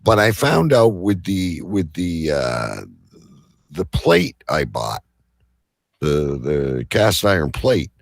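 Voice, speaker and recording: A man hosting a live show with casual banter and a rough, gravelly voice. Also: deep voice, very animated, slow, no room sound, clean studio sound. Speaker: host of a live online show.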